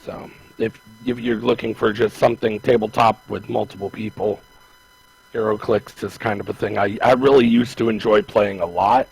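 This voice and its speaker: Gravelly, husky low male voice. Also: steady tone, moderate pace, darkish tone, low-quality recording. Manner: rambling, hesitant, many restarts. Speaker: podcast co-host. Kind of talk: casual chat.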